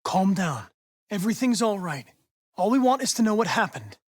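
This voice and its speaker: A man's voice: high voice, sing-song, moderate pace, slightly rough, dry recording, studio quality, heavily acted. A man playing a polite, determined young android police investigator in a video game, with formal, precise, controlled diction. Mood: calm, controlled.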